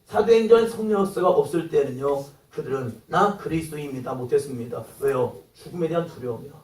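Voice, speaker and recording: A male pastor preaching a sermon, acting out quoted dialogue and rising to loud exclamations. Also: a little high, brisk, roomy sound, recorded from a distance, rough recording.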